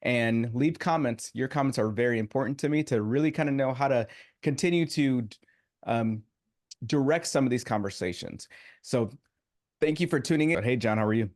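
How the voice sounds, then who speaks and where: A man's voice: medium pitch, moderate pace, darkish tone. Male podcast host, casual and friendly, asks easygoing questions. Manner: casual, often says "kinda". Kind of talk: podcast interview.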